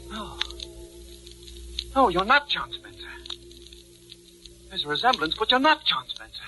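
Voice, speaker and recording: A panicked judge turned killer, slightly high voice, melodramatic confessing and pleading, 1940s radio thriller, music behind. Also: male voice, big pitch swings, a little slow, exaggerated voice, rough recording. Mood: desperate, horrified. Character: panicked, desperate male judge turned murderer.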